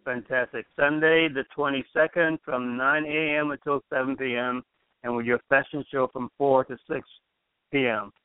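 A man's voice: mid-range voice, steady tone, moderate pace, clean tone, dry recording. A man hosting a radio interview, reacting enthusiastically and laughing often. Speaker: radio show host. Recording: dry, tinny phone-like radio sound.

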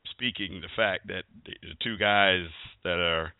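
Low-voiced man hosting over a phone line. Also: fairly even intonation, unhurried, noisy background. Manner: rambling, filler-heavy, conversational. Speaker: podcast host. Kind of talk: casual sports chat.

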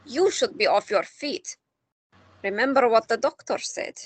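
Woman playing a protective, husky older wife. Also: on the high side, expressive, measured pace, dry recording. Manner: protective, firm. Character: elderly wife, protective and wry. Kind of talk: amateur audio drama acting.

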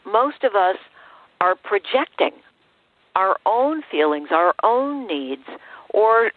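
Host role: middle-aged female, measured and affirming, conversational, heard over band-limited radio-style sound. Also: medium pitch, very animated, no echo, phone-quality sound. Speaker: host, relationship therapist. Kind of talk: conversational interviewing.

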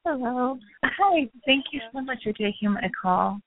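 A woman's voice: high-pitched, animated, measured pace, slight background noise. Eager young woman caller on the phone. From Haiti. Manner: eager and rambling, apologetic. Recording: tinny phone line.

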